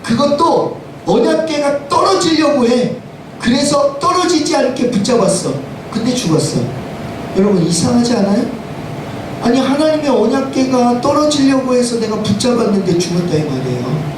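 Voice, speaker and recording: A hoarse male pastor with a cold, preaching a Sunday sermon emphatically and putting rhetorical questions to the congregation. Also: lively intonation, moderate pace. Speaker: senior pastor. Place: church sanctuary, preaching to congregation. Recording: echoey, distant, noisy room with rumble.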